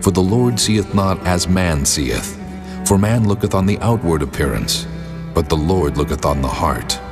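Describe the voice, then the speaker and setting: An actor playing God in dramatized scripture audio, a majestic, commanding divine voice with grave authority. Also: male voice, low-pitched, animated, moderate pace, music in the background. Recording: long cavernous reverb.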